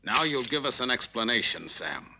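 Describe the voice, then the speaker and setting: An actor playing a firm, law-abiding army colonel in a western film, speaking formally and with authority. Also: male voice, mid-range voice, very animated, normal speed, gravelly. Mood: stern. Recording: dull old film soundtrack, faint noise.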